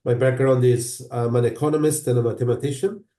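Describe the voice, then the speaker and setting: Italian guy on a video call, mellow dark voice, echoey room, lots of uhs. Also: mid-range voice, steady tone. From northern Italy. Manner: measured, many filler uhs. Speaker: guest, business school professor. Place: home in London.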